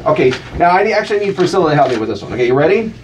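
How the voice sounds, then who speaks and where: Man, teasing radio banter, lively pitch, faint background noise. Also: very fast, distant mic, dull audio. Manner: teasing, animated, breaks into chanted cheers. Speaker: guest co-host.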